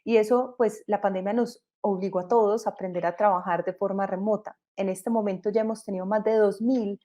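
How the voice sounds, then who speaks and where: A woman's voice: mid-range voice, steady tone, fairly quick, dark tone. A Colombian woman in her thirties with a Colombian accent, a company co-founder giving an explanatory webinar presentation over a video call, with frequent hesitations and restarts. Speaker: company co-founder and panel speaker.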